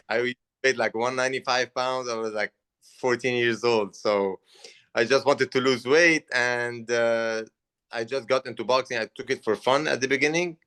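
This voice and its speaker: A man's voice: medium pitch, fairly bright tone, clean tone. Earnest Lebanese-accented young man. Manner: earnest, halting with restarts. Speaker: guest boxer. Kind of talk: interview answers.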